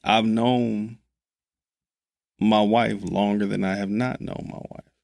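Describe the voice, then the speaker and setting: A laid-back man in his thirties chats easily on a podcast, his voice gravelly with fry. He talks in loose slang, laughs a lot and circles back to his point. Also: on the low side, fairly even intonation, takes it slowly, a little mellow. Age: 30s. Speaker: podcast co-host. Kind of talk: casual chat.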